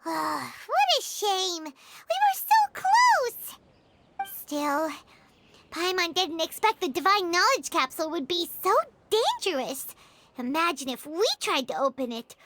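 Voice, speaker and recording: A woman voicing a small, childlike fairy companion in an anime-style video game, squeaky, bubbly and exclamatory. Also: big pitch swings, unhurried, a little hiss or hum, full character voice. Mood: disappointed, worried. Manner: bubbly, exclamatory, refers to self in third person. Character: small, excitable childlike female fairy companion, cute and chatty. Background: loud game music.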